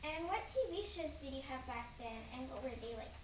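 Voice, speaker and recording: A woman's voice: high voice, animated, fairly quick, roomy sound, distant mic, background noise. A young interviewer asking her grandparents prepared questions politely at home by the fire. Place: home, beside a fireplace.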